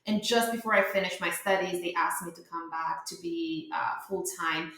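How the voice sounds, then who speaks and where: A woman's voice: fairly low pitch, level tone, normal speed, a bit bright. A woman from Romania in her thirties, a startup founder answering interview questions in a chatty way with many fillers and restarts. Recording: very echoey room.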